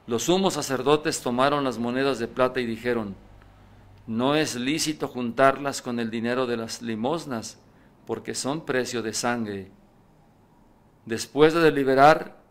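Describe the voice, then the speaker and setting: Older priest reading the passion at a lectern, mid pitch, mic on a stand, gets louder for the quotes. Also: male voice. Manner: reads aloud, raising pitch and volume for quoted voices. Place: church, indoors at a lectern. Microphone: stand mic in front.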